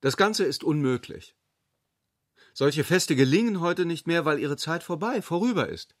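A teasing gentleman in a literary radio play. His voice sits fairly high for a man and moves in lively swings. The recording is dry and close, the delivery formal and declamatory. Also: measured pace, no echo. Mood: dismissive. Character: brisk, teasing gentleman cousin at a masquerade. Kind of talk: literary radio drama acting.

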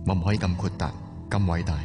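A man's voice: low voice, steady tone, quick pace, big-room sound, over loud music, close to an everyday voice. An actor playing a stubborn young man who defends his older lover, sullen and clipped, with a Hong Kong Cantonese accent. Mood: bitter. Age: young adult. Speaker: young IT worker in love. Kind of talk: 1990s radio drama acting.

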